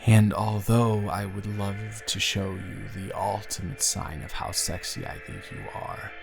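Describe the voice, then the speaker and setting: A man's voice: lowish voice, level tone, a little slow, gravelly. A young man performing an affectionate, playful boyfriend in an intimate roleplay audio, tender, teasing and reassuring. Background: soft background music.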